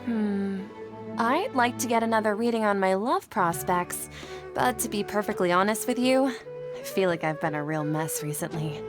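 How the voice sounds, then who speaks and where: A woman acts a polite working woman in a video game. Her voice sits at an average pitch, and she chats openly and candidly. Music is clearly heard behind her. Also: lively intonation, moderate pace, close to an everyday voice. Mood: candid, weary. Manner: conversational, candid. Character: chatty, polite working woman seeking advice on love.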